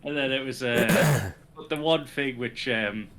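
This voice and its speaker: A man's voice: medium pitch, measured pace, a bit bright. A young British man co-hosting a podcast, talking casually about wrestling and laughing often. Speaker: podcast co-host.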